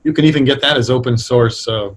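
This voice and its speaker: Interview guest: man, middle-aged or older, husky voice, reflective and hesitant, conversational delivery. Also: medium pitch, quick pace. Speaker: guest, radio drama writer.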